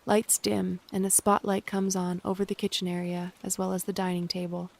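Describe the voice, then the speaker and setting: Creaky, even-toned female narrator. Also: fairly low pitch, brisk. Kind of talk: scripted narration of stage directions.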